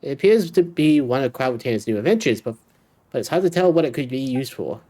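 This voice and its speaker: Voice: mid-range voice, animated, fast, mellow. A streamer giving casual video game commentary and reading on-screen dialogue aloud. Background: game music.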